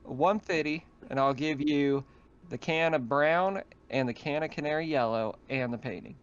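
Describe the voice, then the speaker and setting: A man's voice: a little high, normal speed, clean tone, natural voice. A man playing a stubborn colony shopkeeper who haggles over prices in an improvised tabletop roleplaying game. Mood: bargaining. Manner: haggling, bargaining sales talk. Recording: muffled online voice call.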